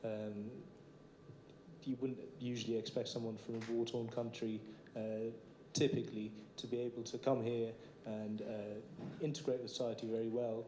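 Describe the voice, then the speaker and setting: A man from Iraq with a dark, mellow voice, answering confidently with the odd filler. He sounds through a roomy, echoing hall with a faint hiss. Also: medium pitch, flat delivery, moderate pace. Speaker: election candidate. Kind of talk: answering a debate question. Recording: echoey hall with some noise.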